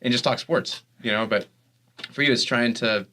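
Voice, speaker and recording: A man's voice: medium pitch, normal speed, pushed and bright, slight background noise. A man hosting a casual studio interview, loose and joking with his guest. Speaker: college sports podcast host. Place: radio studio.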